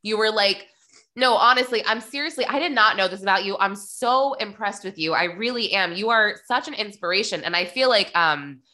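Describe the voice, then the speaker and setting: Effusive, bright-voiced woman in her thirties. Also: mid-range voice, a little fast. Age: late 30s. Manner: effusive, gushing, informal. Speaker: podcast host. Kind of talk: casual chat interview.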